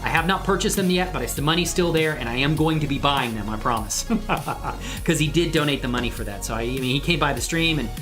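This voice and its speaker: A man's voice: fairly high pitch, brisk, over music. A man hosting a casual livestream chat, talking in an effusive, grateful manner with his viewers. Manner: effusive, excitedly whooping greetings to viewers, grateful. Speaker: live stream host and 3D animation creator.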